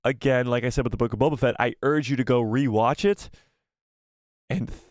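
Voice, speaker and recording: A man's voice: mid-range voice, fairly quick, darkish tone, dry recording, studio quality. A man hosting a live fan stream, giving firm opinions, reading viewer replies aloud, and losing his voice. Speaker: host of a live fan show.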